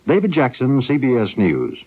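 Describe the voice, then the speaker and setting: A man's voice: medium pitch, huge pitch swings, normal speed, breathy edge. An American man anchoring radio news from a script. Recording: lo-fi 1970s radio broadcast.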